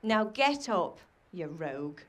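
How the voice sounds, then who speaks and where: A slow, scolding peasant mother. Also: on the low side, big pitch swings. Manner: scolding, fussing. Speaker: mother and blacksmith's wife. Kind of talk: video game cutscene acting.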